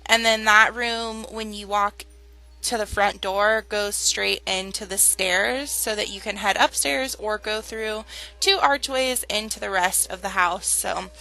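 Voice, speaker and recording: Chatty young woman, slightly croaky. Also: medium pitch, a little slow, pushed and bright, rough recording. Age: young adult. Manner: chatty, many fillers and "like"s. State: slightly hoarse from a cold. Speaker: Sims builder and channel host. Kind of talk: casual speed-build commentary.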